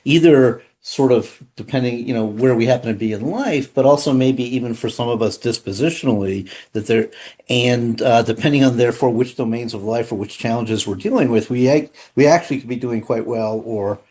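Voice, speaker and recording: A man's voice: medium pitch, brisk, darkish tone. A man with a mid-range voice moderates a panel discussion over a video call. He speaks hesitantly, full of fillers, and trails off before finishing his thoughts. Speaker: session moderator.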